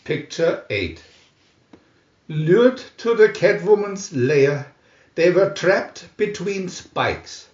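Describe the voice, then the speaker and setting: A man narrating a scripted storybook in a deliberate, theatrical announcing manner. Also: fairly high pitch, very animated, darkish tone. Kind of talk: dramatic scripted storybook reading. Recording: dull lo-fi, roomy echo.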